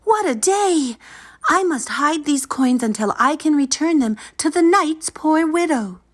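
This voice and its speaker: A woman voicing a brave young girl heroine in a storybook read-aloud, pitched well above her own voice. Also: animated, unhurried. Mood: relieved.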